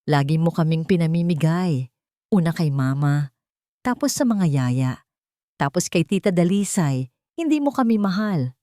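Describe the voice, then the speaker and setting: A woman's voice: fairly low pitch, animated, moderate pace, pauses often, mellow, dry recording, studio quality, close to an everyday voice. A woman voicing an angry, hurt young boy, bitter and accusing, in an audiobook drama.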